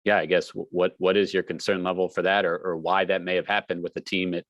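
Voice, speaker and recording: A man's voice: sits low, little pitch movement, fast talker, fairly bright tone, no room sound, dull audio. A man, a sports reporter asking friendly, rambling questions at a press conference.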